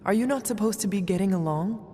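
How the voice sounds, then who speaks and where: A performer voicing a calm, earnest resurrected warrior in a video game, with formal, measured, old-fashioned speech. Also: mid-range voice, a little hiss or hum, lightly acted. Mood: cautioning. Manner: formal, measured, avoids contractions.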